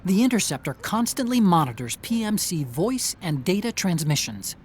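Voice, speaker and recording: A man's voice: high voice, big pitch swings, normal speed, a little mellow. A clever, earnest male technician guiding an agent in a video game. He explains things step by step in a methodical briefing style, over faint background noise. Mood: serious, instructive.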